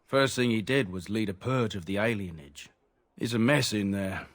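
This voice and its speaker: City gate guard, lowish voice, blunt, official, matter-of-fact, fantasy game. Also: male voice, lively intonation, moderate pace. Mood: stern, matter-of-fact.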